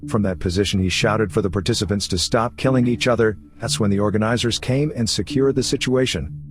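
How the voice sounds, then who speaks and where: Low-pitched man narrating over music. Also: a little fast. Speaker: narrator of movie recap videos. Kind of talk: scripted recap narration.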